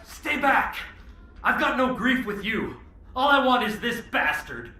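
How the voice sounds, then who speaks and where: Unhinged, high, forceful ex-soldier. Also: male voice, very animated, unhurried, exaggerated voice. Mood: enraged. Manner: forceful, shouting, ragged intensity. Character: unhinged, vengeful male ex-soldier with a grudge. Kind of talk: video game voice acting. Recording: echoey room, music behind.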